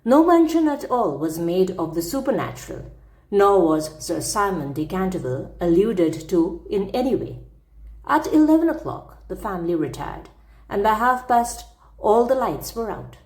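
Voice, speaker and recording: A woman narrating a classic story as an audiobook, with measured, precise phrasing. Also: medium pitch, big pitch swings, a little mellow. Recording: roomy, echoing sound.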